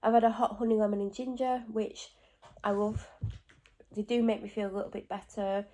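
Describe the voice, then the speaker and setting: A woman's voice: mid-range voice, fairly even intonation, mellow. Stuffy woman with a cold, rambling vlog chat at home, lots of little asides. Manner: rambling, talks in quick asides and self-corrections. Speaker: vlogger. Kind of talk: casual chatty vlog.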